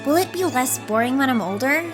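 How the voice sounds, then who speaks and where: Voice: high voice, animated, fairly quick. A performer voicing a childish, eager little pony princess in a cartoon drama, with a whiny edge. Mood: bored, whiny. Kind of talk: animated fan drama voice acting. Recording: music behind the voice.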